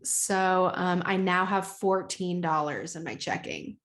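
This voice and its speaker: A young woman co-hosting a casual podcast, chatty and slangy, quick to laugh. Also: lowish voice, fairly even intonation, fairly bright tone. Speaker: podcast co-host. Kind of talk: casual chat. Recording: echoey room.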